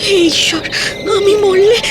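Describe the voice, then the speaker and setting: Very high-pitched pleading young widow. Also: female voice, fairly even intonation, a little slow, over loud music. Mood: desperate pleading. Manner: pleading, tearful. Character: poor young widowed mother, fearful but determined flower seller. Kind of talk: dramatic cartoon voice acting.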